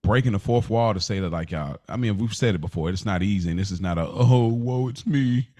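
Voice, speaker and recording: A man co-hosting a podcast, talking casually and reflectively in halting, deliberate phrases with repeated restarts. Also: lowish voice, warm tone, dry recording. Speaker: podcast co-host.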